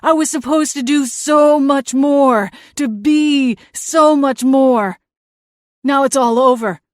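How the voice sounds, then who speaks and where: A woman's voice: high-pitched, slow pace, no room sound, studio quality, voice pushed up. A woman voicing a whiny, self-pitying dead brother, a male ghost who complains he was cheated. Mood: whining. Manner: petulant, complaining.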